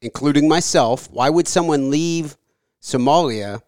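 A man hosting a podcast interview, talking in a relaxed, conversational way with some filler words. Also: on the high side, unhurried, no echo, clean studio sound. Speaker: podcast host. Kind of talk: casual interview and read sponsor ads.

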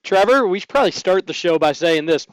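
A hoarse man hosts a radio sports talk show in an upbeat, chatty way. His voice sits a bit high and comes across smooth and resonant despite the hoarseness. The studio sound is close and dry. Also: expressive, fairly quick, dry recording, poor audio.

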